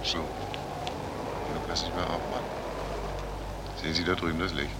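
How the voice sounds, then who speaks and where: An actor playing a gruff, stern but grudgingly helpful policeman in a 1940s radio drama, curt and commanding. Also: sits low, flat delivery, measured pace. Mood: brisk, instructing. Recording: noisy old 1940s radio recording.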